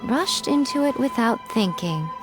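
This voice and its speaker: Sly, haughty fox-spirit shrine maiden. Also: female voice, a little high, expressive, measured pace. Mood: wry, knowing. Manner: arch, sardonic, theatrical. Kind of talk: video game character acting. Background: faint music.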